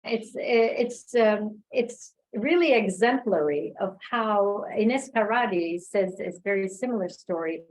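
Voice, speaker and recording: A woman giving thoughtful, reflective answers as a guest speaker in an online discussion, pausing as she finds her words. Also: mid-range voice, lively intonation, a little mellow, poor audio. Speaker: guest speaker, filmmaker and oral history researcher. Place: remote online video call.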